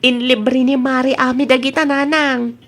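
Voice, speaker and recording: A woman's voice: high-pitched, little pitch movement, normal speed, fairly bright tone. A woman voice-acting an easygoing young wife in a comedy drama, with a Filipino accent. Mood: defensive, cheerful.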